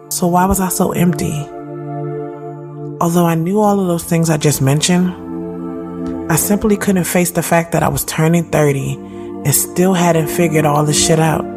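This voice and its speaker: A woman acts a reflective woman of thirty narrating her own audio drama. She is candid and conversational, with slang and an undercurrent of inner conflict. Music plays clearly behind her voice. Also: fairly low pitch, fairly even intonation, normal speed, close to an everyday voice. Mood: frustrated, reflective.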